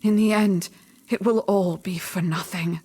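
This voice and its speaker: An actress playing a solemn, all-knowing mystic mentor in a video game, measured and cryptic in manner. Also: female voice, mid-range voice, fairly even intonation, warm tone, husky. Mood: foreboding. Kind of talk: video game cutscene acting.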